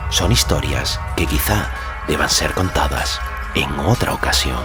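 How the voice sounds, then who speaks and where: A man narrating a fantasy story as a scripted audiobook, measured and solemn in delivery. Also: a bass voice, lively intonation, music in the background.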